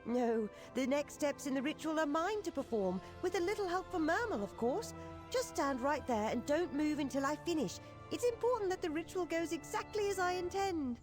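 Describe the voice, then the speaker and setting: An actress playing a self-assured, secretly sinister female mage in a video game, brisk and commanding. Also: sits high, expressive, faint music behind. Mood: brisk, controlling. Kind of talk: scripted game character dialogue.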